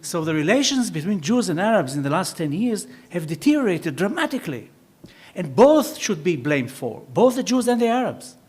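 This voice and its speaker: A man's voice: sits high, big pitch swings, moderate pace, darkish tone, breathy edge. A man speaking as an expert on a panel, explaining emphatically, repeating words and posing his own questions as he answers.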